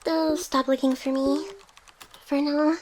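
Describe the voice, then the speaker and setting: High-pitched female AI hacker played by a woman, playful kuudere, soft, teasing, devoted, intimate ASMR roleplay. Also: little pitch movement, takes it slowly, a little hiss or hum. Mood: tender, wistful.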